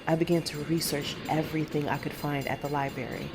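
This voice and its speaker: An actor playing a middle-aged mother and monster hunter who recounts past horrors in a measured, deliberate audio drama manner. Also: low voice, fairly even intonation, music in the background. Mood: reflective. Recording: faint background noise.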